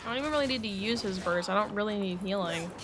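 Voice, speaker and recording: A woman's voice: mid-range voice, quick pace. Woman streaming a game, music behind. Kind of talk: casual live gameplay commentary.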